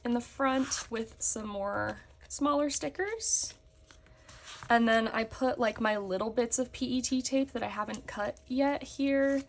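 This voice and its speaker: A young woman, slightly hoarse after a concert, chatting casually as she shows her planners on camera. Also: fairly high pitch, slight background noise. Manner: chatty, with fillers and frequent laughs. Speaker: planner vlogger. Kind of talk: casual show-and-tell chat. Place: indoors at a desk, hands on a table.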